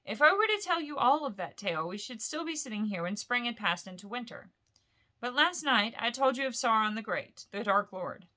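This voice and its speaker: A woman reads the part of a grave old wizard from a fantasy storybook. The voice is bright and forward, commanding and slow, with weighty, portentous emphasis on the words. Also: on the high side, big pitch swings. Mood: grave, patient.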